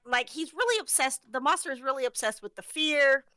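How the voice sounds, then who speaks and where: Female, crisp bright tone in a dry space, casual and playful conversational read. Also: high voice, lively intonation, brisk, dry recording. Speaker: audio drama after-show participant. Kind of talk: casual chat.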